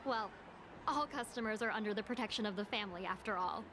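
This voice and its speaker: An actress playing a bubbly young singer in a video game who talks in a cheerful, gushing way. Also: female voice, mid-range voice, quick pace, background noise, natural voice. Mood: chatty.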